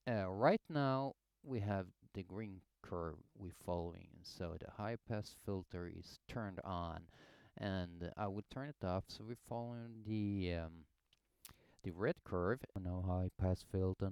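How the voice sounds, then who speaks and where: A young man with a Swedish accent rambles through a home microphone test, trailing off into ums and restarts. His voice sits low but moves a lot, unhurried and very clean. The sound is dry and close, with no room around it. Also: darkish tone, clear, resonant tone, dry recording. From Sweden. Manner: rambling, many fillers and restarts. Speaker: home studio hobbyist testing microphones. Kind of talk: casual demo narration. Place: home recording setup. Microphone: headset and studio condenser microphone.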